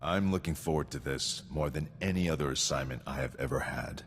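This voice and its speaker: Very deep-voiced, stern military sergeant. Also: male voice, a little fast, big-room sound, slight background noise. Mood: earnest, formal. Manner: formal, precise, recites statistics. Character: stern, dutiful male military sergeant, coldly devoted to victory. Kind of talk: scripted video game acting.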